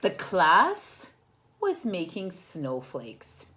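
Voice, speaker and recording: A woman teacher reads a picture book aloud to young children. Her voice sits a little low and moves at a very slow pace, with big swings in pitch. She speaks to the children directly, encouraging them and putting questions to them. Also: wildly swooping pitch, bright, forceful tone.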